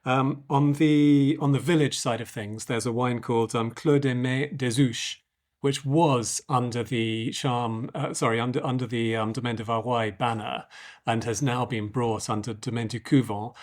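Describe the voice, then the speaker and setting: A man with a croaky voice co-hosting a wine podcast, talking through tastings in a relaxed, hesitant way with frequent ums. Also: mid-range voice, moderate pace. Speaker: wine merchant podcast co-host. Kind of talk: casual expert discussion.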